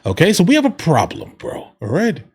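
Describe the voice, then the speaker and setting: A man's voice: sits high, huge pitch swings, brisk, darkish tone, slightly rough. A man giving casual political commentary as a reaction host, conversational and opinionated, with slangy asides to his viewers.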